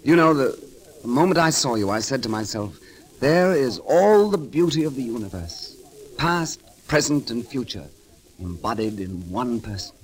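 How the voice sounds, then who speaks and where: An actor playing a witty, bold and romantic grand constable in an eloquent, theatrical 1930s radio style. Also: male voice, medium pitch, animated, normal speed, close to an everyday voice, rough recording. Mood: tender, teasing.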